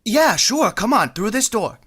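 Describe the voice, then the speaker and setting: A woman's voice: on the high side, very animated, fairly bright tone, a little hiss or hum. A woman playing a nervous teenage boy hurrying his date along in an amateur vintage radio play. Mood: urgent, flustered. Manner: hurried, pushy and jittery.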